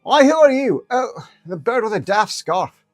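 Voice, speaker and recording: A man's voice: high-pitched, big pitch swings, unhurried, dry recording, clean studio sound, soft background music, voice pushed up. A man voicing a foul-mouthed, fiercely loyal female talking ferret. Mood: gruff, defensive. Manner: brash, loud, slangy, swears.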